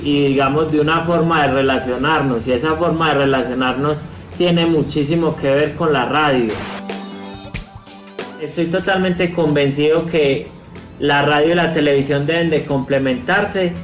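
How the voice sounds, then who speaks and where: A man around forty giving a measured, earnest, reflective testimonial. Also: a little high. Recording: echoey room, faint music.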